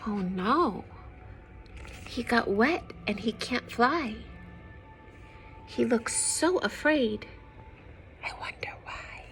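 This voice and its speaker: A woman reading a picture book aloud to young children, expressive and gentle, often dropping into hushed asides to her listeners. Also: a little high, drawn-out words, pauses often, soft background music, rough recording. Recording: some background noise.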